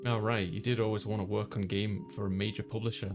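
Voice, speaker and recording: A man's voice: lowish voice, level tone, quick pace. A man streaming a visual novel, reading the story aloud and chatting casually. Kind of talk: read-aloud story narration with casual chat. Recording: clear music behind the voice.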